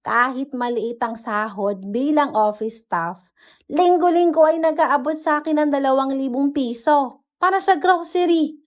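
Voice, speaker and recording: A woman's voice: high-pitched, unhurried, pitched above the performer's own voice. A woman voicing a scornful, scolding older mother in a dramatized story. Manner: sharp, scolding, exasperated. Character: scornful older mother nagging about her idle daughter.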